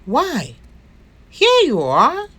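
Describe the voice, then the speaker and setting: Motherly storybook duck, played by a woman: lively intonation, fussing, reassuring babysitter. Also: high-pitched, very slow, husky, voice pushed up, full character voice. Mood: playful.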